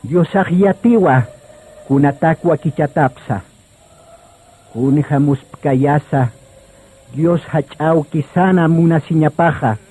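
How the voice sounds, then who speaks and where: A man narrating a scripted religious radio drama in a solemn, deliberate manner. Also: on the high side, mellow, slightly rough, poor audio. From Bolivia.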